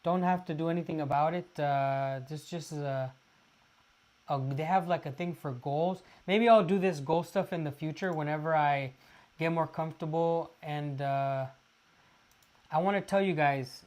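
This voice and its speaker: A man from Los Angeles, chatting casually to viewers on a livestream and pausing often with fillers. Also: on the high side, a little mellow. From Los Angeles, California. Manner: halting, restarts often with many "uh" fillers. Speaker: livestream host.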